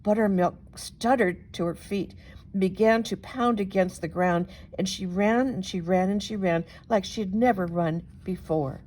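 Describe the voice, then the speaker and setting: A woman reading a children's story aloud as an expressive narrator, with dramatic, deliberate emphasis. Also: mid-range voice, a little hiss or hum. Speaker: storybook reader, reverend.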